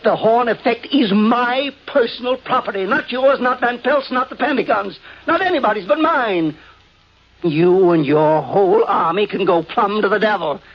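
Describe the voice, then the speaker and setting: A man's voice: high-pitched, lively intonation, moderate pace, slight background noise. An actor playing an irascible, stubborn scientist in his eighties, blustering and sputtering in 1950s radio drama style. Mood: furious. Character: irascible old scientist, about eighty-five, stubborn and scornful. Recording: dull 1950s radio broadcast recording.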